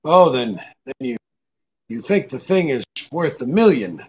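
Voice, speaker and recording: An actor playing a tough, wry private detective, hard-boiled and wisecracking, in a 1940s-style radio drama. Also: male voice, medium pitch, very animated, unhurried, a little mellow, lo-fi sound, slight background noise. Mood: wary. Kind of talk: 1940s radio drama acting with first-person narration.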